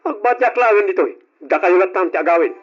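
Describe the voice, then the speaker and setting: A man's voice: high voice, expressive, quick pace, faint music behind. A man with an Ilocano accent playing a struggling, jobless husband and brother who answers curtly and defensively, in an old radio drama. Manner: defensive, curt. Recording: dull lo-fi old radio recording.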